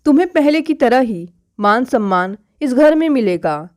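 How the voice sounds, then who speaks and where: A woman's voice: sits high, expressive, normal speed, a little mellow. A woman voicing a self-justifying husband who explains himself with restraint in a family audio story. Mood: defensive.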